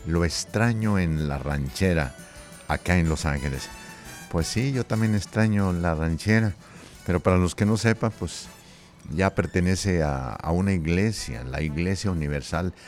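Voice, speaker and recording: A man's voice: sits low, measured pace, a little mellow, faint music behind. An older man with a Mexican accent hosting a live show in a jovial, folksy, chatty way. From Zacatecas, Mexico. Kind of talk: casual chat with audience.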